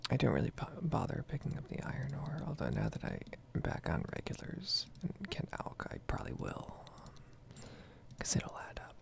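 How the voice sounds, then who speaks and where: Whispering woman with heavy vocal fry. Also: rumbling low, wildly swooping pitch, fairly quick. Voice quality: breathy whisper. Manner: casual rambling with swearing. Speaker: gaming video creator. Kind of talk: ASMR whispered gaming ramble.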